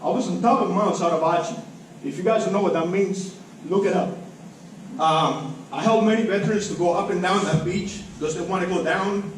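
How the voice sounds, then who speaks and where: A man's voice: sits high, fairly quick. A middle-aged man from Cuba with a Cuban accent, a native Spanish speaker, giving an emotional, emphatic, informal public speech. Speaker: public commenter at a school board meeting. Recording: very echoey room, distant mic, faint noise.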